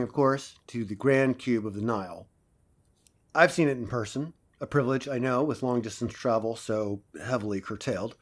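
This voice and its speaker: A man's voice: mid-range voice, natural voice. Actor as self-assured, theatrical male professor. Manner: didactic, theatrical, prompts listeners with questions. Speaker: university lecturer. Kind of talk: dramatized classroom lecture.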